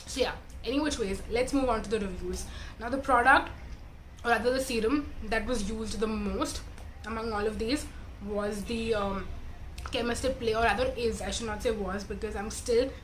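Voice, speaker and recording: A young woman talks casually to camera, her slightly high voice raspy and congested from a cold. She rambles through a skincare review, correcting herself and filling pauses with "um" and "like." A faint hiss sits under the recording. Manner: chatty, self-correcting, filler-heavy. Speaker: beauty vlogger.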